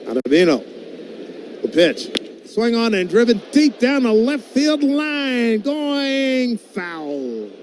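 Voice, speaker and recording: A man doing live baseball play-by-play on radio, speaking in clipped phrases that can rise into excited calls. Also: very high voice, takes it slowly. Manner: clipped phrases, calls rising to excited shouts on big plays. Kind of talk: live sports play-by-play commentary. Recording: noisy live ballpark broadcast.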